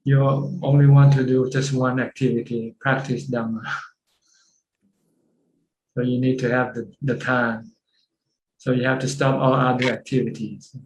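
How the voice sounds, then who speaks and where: A man's voice: mid-range voice, flat delivery, measured pace, pauses often, a little hiss or hum. A man, a Buddhist monk with a Thai accent, patiently explaining Buddhist practice over a video call, often repeating words as he goes. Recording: echoey video call audio.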